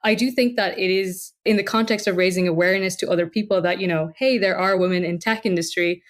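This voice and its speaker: A woman's voice: mid-range voice, quick pace. A woman giving earnest, reflective interview answers in a conversational way. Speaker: podcast interviewee, tech worker.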